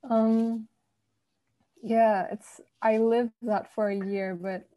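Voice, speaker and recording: A young woman talks loosely with friends, full of fillers and restarts. Her voice is a little croaky, as if she has just woken up. The sound is close and dry, with no room around it. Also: on the high side, flat delivery, slow, no room sound, muffled recording. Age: young adult. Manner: rambling, lots of fillers. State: croaky morning voice. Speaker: university student. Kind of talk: casual group chat.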